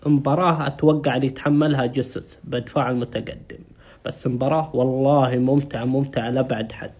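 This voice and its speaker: A man's voice: medium pitch, steady tone, fast, a little mellow, breathy edge. A man reacting live to a football match, voice strained from shrieking and chanting. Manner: shrieking, chanting, laughing between outbursts.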